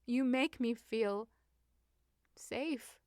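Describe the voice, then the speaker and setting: A giddy young Regency lady from a romantic story, acted by a woman narrator. She speaks in a dreamy, drawn-out way, playful and unguarded. The sound is dry and close. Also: fairly high pitch, a little mellow, no echo, voice pushed up. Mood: dreamy, affectionate.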